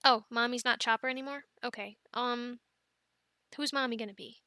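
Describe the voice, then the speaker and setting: A woman playing an affectionate, playful young mother in an ASMR family roleplay, talking gently and haltingly to her children. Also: fairly high pitch, steady tone, no room sound, studio quality. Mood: playful, bemused. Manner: gentle, halting, soft-spoken with playful bursts.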